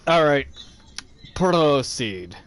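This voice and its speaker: A man's voice: on the high side, big pitch swings, extremely slow, fairly bright tone, slight background noise. A man in a casual chat, reacting and asking questions as a friend would.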